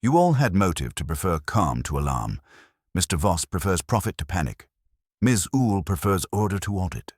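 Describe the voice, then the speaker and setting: Man acting imperious older male detective, audiobook mystery, bottom-register voice, husky, formal, stern, judicial phrasing. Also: low-pitched, very animated, a little mellow, no room sound, studio quality, voice pushed up, exaggerated voice. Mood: coolly probing. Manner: formal, measured, pronouncing judgments.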